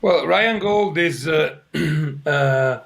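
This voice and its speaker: A middle-aged man from Italy with an Italian accent, a soccer coach answering interview questions in halting English full of fillers and restarts, his throat a little irritated. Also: a little high, lively intonation, gravelly, fairly bright tone.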